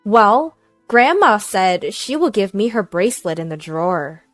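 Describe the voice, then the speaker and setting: A woman's voice: very animated, a little slow, dry recording, soft background music. A woman voicing a sweet, innocent small girl in a narrated story, in a childlike way. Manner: childlike, high-pitched. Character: sweet, innocent three-year-old girl. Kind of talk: acted dialogue in narrated story.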